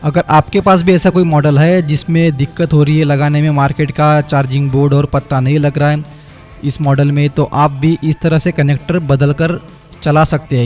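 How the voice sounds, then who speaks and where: A man, a phone repair technician narrating a step-by-step repair tutorial over his work. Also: a little high. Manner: explanatory, step by step, addresses viewers as friends. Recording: quiet background music under voice-over. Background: light background music.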